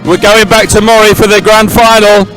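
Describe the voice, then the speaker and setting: A man's voice: high-pitched, level tone, normal speed, fairly bright tone, soft background music, rough recording. A man presenting live sports commentary, repeating phrases as he fills time between events.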